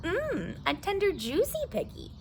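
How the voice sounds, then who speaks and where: A woman voicing a sneaky, hungry male coyote from a storybook, calling out in a drawn-out, sing-song way. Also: extremely high pitch, wildly swooping pitch, slight background noise, voice pushed up, heavily acted. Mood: hungry, gloating.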